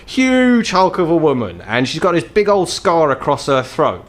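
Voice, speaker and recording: A man's voice: a little high, wildly swooping pitch, normal speed, pitched above the performer's own voice. A man voicing an embittered, crippled, ageing troll fixer in a tabletop game, with a British accent and a blunt, world-weary, sweary manner. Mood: blunt, conspiratorial.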